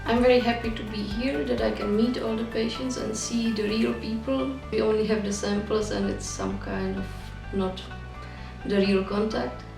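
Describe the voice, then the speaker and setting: A woman's voice: mid-range voice, little pitch movement, normal speed, creaky, music in the background. A young woman from the Republic, a PhD researcher speaking earnestly and upbeat in a video testimonial. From Czech Republic. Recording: echoey room, distant mic.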